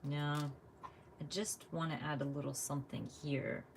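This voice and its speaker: A woman's voice: low voice, fairly even intonation, a little slow, vocal fry, a little hiss or hum. A woman from the United States chatting casually while she crafts live, thinking aloud and laughing often. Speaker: craft vlogger.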